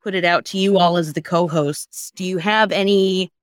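A woman podcast co-host with a slightly low voice, chatting casually and emphatically. The sound is dry and close, with no room echo. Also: steady tone, moderate pace. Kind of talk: casual panel chat.

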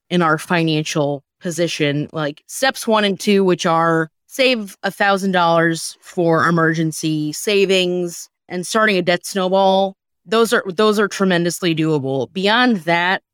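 A woman's voice: fairly low pitch, a bit bright, no echo, clean studio sound. A woman hosting a podcast, chatting casually with wry, sarcastic humor. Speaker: podcast host. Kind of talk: casual comedic chat.

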